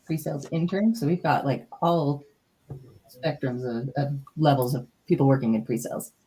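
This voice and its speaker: Mellow-voiced woman moderating a webinar. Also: extremely low pitch, moderate pace, low-quality recording. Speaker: event moderator. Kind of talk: reading audience chat responses aloud.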